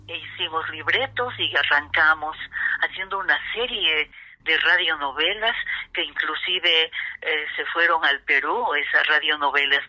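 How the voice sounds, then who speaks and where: Slow, piercingly bright older Ecuadorian woman. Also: low voice. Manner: halting, pausing between phrases. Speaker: radio actress being interviewed. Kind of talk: reminiscing in an interview. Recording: dull, narrow-band sound.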